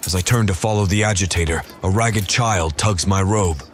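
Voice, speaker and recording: A man voicing a loyal, earnest royal officer who gravely narrates his investigation in an audio drama. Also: sits low, moderate pace, a little hiss or hum, lightly acted. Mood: earnest, troubled. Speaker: writer and performer of the audio drama. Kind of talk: scripted first-person audio drama narration.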